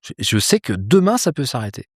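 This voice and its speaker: A man being interviewed about life and ambition, talking in a lively casual way with frequent fillers, restarts and mild swearing, outdoors. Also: mid-range voice, fairly quick, no echo, studio quality. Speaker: polar explorer guest. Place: outdoors with a view of a landscape.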